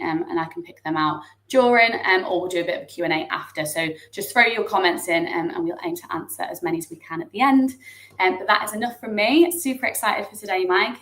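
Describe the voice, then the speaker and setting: A woman's voice: mid-range voice, a bit bright. A woman hosting an online webinar over video call, introducing the guest and politely reading out a disclaimer. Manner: polite, reads out a formal disclaimer. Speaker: webinar host. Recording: echoey room audio.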